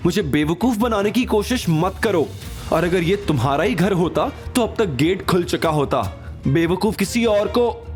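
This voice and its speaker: A man voicing an envious, snobbish and excitable young wife with a sneering manner in an audio drama. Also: high-pitched, a little slow, soft background music, voice pushed up. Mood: scornful. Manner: sneering, incredulous, exclaiming.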